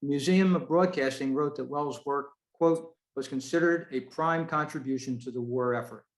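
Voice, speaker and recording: A man's voice: mid-range voice, normal speed. A man presenting a prepared talk to a club over a video call, in a roomy-sounding space.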